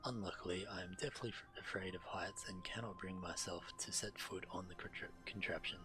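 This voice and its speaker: A man's voice: low voice, fairly even intonation, a little fast, poor audio. A man streaming a video game, reading quest text aloud and adding casual commentary. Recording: game music playing behind. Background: video game music.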